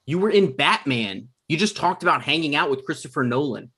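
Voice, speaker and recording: A man's voice: on the high side, sing-song, a little fast, fairly bright tone, husky, heavily acted. A man playing an eager, hapless aspiring TV writer in a comedy table read. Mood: challenging.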